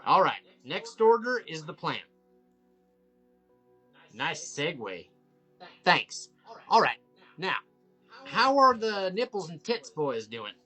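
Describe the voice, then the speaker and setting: A man's voice: a little high, sing-song, takes it slowly, pauses often, pushed and bright, faint music behind, exaggerated voice. A man reading the part of a bossy, leering older men's-club member at a film table read. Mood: businesslike.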